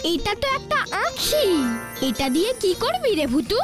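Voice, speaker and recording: An earnest young boy in a kids' cartoon, very high in pitch. The delivery is lively and full of questioning curiosity, with music underneath. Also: moderate pace, full character voice. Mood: curious, questioning. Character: curious young village boy, elder-brother friend of a ghost. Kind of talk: children's cartoon dubbing. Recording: music behind the voice.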